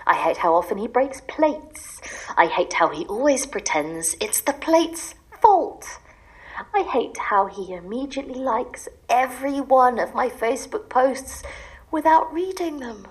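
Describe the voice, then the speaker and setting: Exasperated wife in an audio drama; bitter ranting, very expressive intonation, pleading edge. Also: female voice, a little high, a little slow, rough recording. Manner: ranting in long bitter lists, sometimes dropping to a secretive whisper. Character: exasperated, desperate wife sick of her husband, pleading to disappear.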